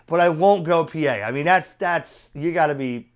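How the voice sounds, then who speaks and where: A man's voice: fairly high pitch, moderate pace. A middle-aged man giving a casual product review in a chatty, opinionated, colloquial way. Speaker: radio reviewer.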